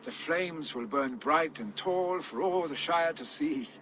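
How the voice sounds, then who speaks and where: An actor playing a proud, earnest son of a dead jarl in a game cutscene. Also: male voice, a little high, lively intonation, unhurried.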